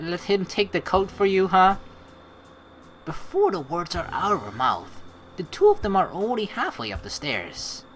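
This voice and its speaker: A woman's voice: on the low side, a bit bright, slight background noise, rough recording. A woman hosting a let's play, switching between reading game narration aloud and playful, teasing commentary.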